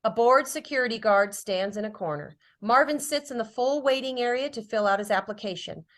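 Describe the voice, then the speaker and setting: A woman's voice: a little high, brisk, a bit bright. A woman reading stage directions as scripted narration at a drama table read.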